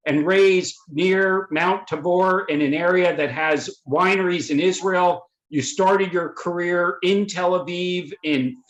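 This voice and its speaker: A man hosting a webinar, introducing the panelists in a halting way, with many fillers and restarts, over a video call. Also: high voice, fairly bright tone. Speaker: webinar host and moderator. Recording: echoey room audio.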